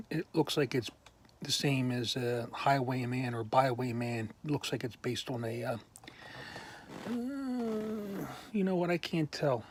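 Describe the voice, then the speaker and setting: Slow halting man losing his voice. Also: medium pitch, a little mellow, slight background noise. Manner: slow, halting, many ums and uhs, frequent throat clearing. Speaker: collector showing his toys. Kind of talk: casual show-and-tell.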